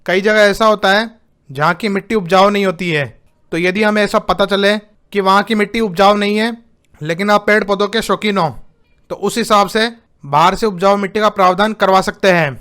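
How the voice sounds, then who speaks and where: A man's voice: high-pitched, fairly even intonation, moderate pace, a bit bright, smooth. A man with a sore throat hosting a home-building tips channel, explaining steadily and often addressing viewers as friends. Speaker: YouTube host giving home-building tips. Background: background noise.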